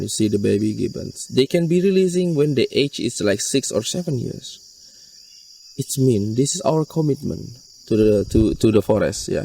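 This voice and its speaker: Halting Indonesian-accented man, recorded at a distance. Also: medium pitch, creaky, darkish tone, a little hiss or hum. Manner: halting, repetitive phrasing. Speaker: wildlife rescue NGO worker being interviewed. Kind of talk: interview explanation in non-native English. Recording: distant mic with insect chirps.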